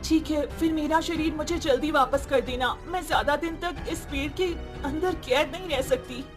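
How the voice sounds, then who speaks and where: A woman voicing a sorrowful, aggrieved soul of a cursed woman trapped in a tree, for an animated story. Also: high-pitched, moderate pace, music in the background, voice pushed up, lightly acted. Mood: reluctant. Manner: plaintive, aggrieved. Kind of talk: Hindi animated moral story acting.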